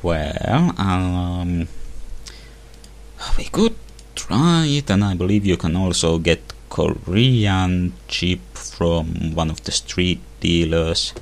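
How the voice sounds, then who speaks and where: Guy with a low gravelly voice thinking out loud over a game, super slow, faint music behind. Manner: slow, hesitant, thinking aloud with many hums. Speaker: let's play host. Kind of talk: casual gameplay commentary.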